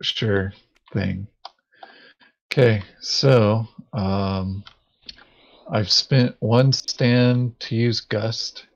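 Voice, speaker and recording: A man thinking aloud haltingly as he plays a tabletop game in casual chat. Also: lowish voice, fairly even intonation. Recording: dull lo-fi voice chat audio.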